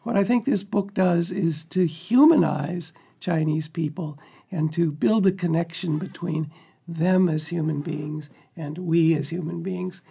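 A man's voice: a little high, a little slow, vocal fry, warm tone, breathy edge. A man, one of the book's editors, speaking earnestly and reflectively in an interview. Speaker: book editor. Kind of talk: conversational interview.

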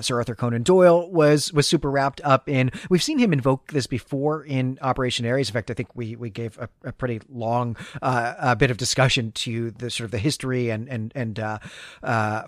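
A man's voice: mid-range voice, dry recording, clean studio sound. A man hosting a literary podcast, discussing and recapping fiction in discursive, scholarly phrasing with colloquial asides. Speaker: podcast host.

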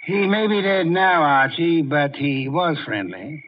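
An actor playing a pompous, brilliant, irritable private detective in a 1950s radio drama, speaking in a deliberate, theatrical way. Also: male voice, a little high, expressive, heavy background noise. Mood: musing. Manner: theatrical, deliberate, haughty, grunts and chuckles. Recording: dull old-time radio recording.

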